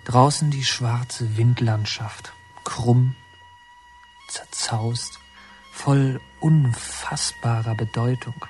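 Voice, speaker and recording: Brooding young inmate narrator: slow, mellow, reflective, radio drama over music. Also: male voice, medium pitch, breathy edge. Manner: halting, reflective, poetic. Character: brooding young male inmate recalling his childhood. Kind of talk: radio play first-person narration.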